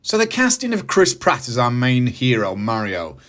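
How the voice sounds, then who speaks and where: A man's voice: mid-range voice, huge pitch swings, moderate pace, a bit bright. A middle-aged man with a British accent, presenting a scripted review with casual asides and emphatic, enthusiastic phrasing. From United Kingdom. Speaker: host of a movie review channel.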